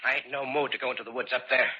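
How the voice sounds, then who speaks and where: An actor playing a gruff, stubborn rustic driver with rough, curt, folksy speech, in an old-time radio drama. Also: male voice, medium pitch, fairly even intonation, rapid-fire, slight background noise, lightly acted. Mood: stubborn, irritated. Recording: dull old radio recording.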